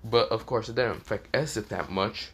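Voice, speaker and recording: A man who is sick and coughing, telling a fan fiction story in a rambling, halting way, often restarting with "this is where, well". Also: on the low side, a bit bright, slightly rough.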